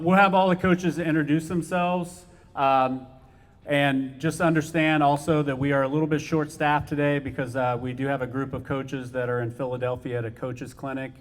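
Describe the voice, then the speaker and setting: A man's voice: medium pitch, normal speed. A man, the head swim coach, addressing families at a team meeting, informal and full of fillers. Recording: long reverb in a large hall.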